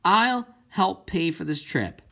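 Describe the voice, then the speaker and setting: A man's voice: sits high, big pitch swings, slow pace, creaky, fairly bright tone, voice pushed up, full character voice. A man voicing an earnest deaf girl in an audiobook, pleading and stubborn, with emphatic, impassioned protests. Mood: frustrated, insistent.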